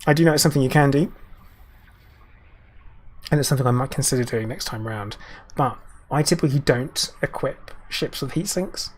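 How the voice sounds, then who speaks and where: Streamer type: man, a bit scratchy today, chatty commentary at a desk mic, mid pitch, faint hiss behind. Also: brisk. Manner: chatty, answers viewers by name, muttering asides. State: slightly scratchy voice. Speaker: game streamer. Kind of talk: live gameplay commentary.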